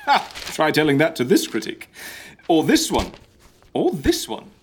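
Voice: mid-range voice, wildly swooping pitch, a little slow, slight background noise. An actor playing an exasperated, nosy modern interviewer in a comic audio drama, blunt and colloquial.

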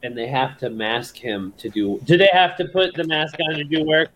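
Hyped podcast bro, high bright voice, super animated, slangy. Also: male voice, normal speed, a crowd in the background, low-quality recording. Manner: enthusiastic, slangy. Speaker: podcast co-host. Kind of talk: casual chat.

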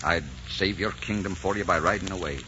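An actor playing a brooding, world-weary adventurer in love, grave and ardent in 1940s radio style. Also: male voice, low-pitched, fairly even intonation, fairly quick, pushed and bright. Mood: firm, anguished. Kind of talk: 1940s radio romance acting. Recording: dull, noisy 1940s radio recording.

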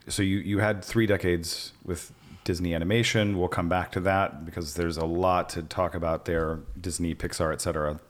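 A man's voice: sits low, little pitch movement, normal speed. A man hosting an interview, asking questions in an enthusiastic, conversational way. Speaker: podcast host.

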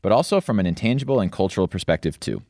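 A man reading scripted narration as a podcast host, in a measured delivery. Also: low voice, animated, darkish tone, no echo, clean studio sound.

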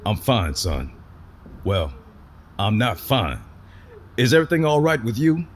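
A man's voice: mid-range voice, big pitch swings, measured pace. An actor playing a worried older father of a grown son in an audio drama.

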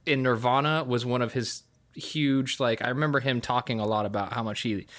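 A man co-hosts a podcast in a middle-pitched voice. He talks informally and with enthusiasm, in the manner of a relaxed conversation. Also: brisk. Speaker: podcast co-host. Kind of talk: casual chat.

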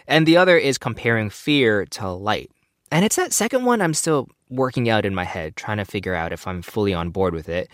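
A man's voice: lowish voice, a bit bright, dry recording, clean studio sound. An American man hosting a podcast, introducing things in an informal, conversational way. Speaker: podcast host. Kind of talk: casual scripted podcast introduction.

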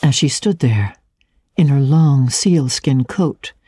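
A woman with a husky voice gives a measured, dramatic reading of classic literature as an audiobook narrator. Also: rumbling low, animated, mellow, dry recording, clean studio sound.

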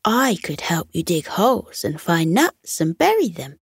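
A woman's voice: lowish voice, very animated, measured pace, slightly rough, no echo, studio quality, full character voice. A woman voicing a kind, earnest male fox who makes tentative, coaxing offers in a children's bedtime story. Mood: tentative, helpful. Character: eager, kind male fox with a big idea.

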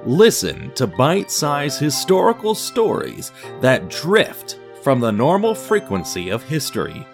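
A man reading scripted material as a radio theatre narrator and announcer, with guitar music behind him. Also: medium pitch. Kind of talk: scripted dramatic story narration.

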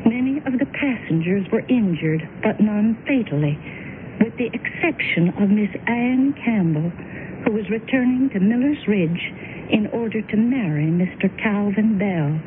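An actress playing a kindly, fussy, motherly woman in a radio drama, speaking in a gently directing, hesitant way. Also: mid-range voice, expressive, a little slow, lightly acted. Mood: hushed, solemn. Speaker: radio drama supporting character. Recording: tinny, noisy old radio recording.

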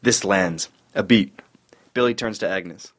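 A man's voice: low voice, unhurried. A man reading scripted stage directions as the narrator of a table read.

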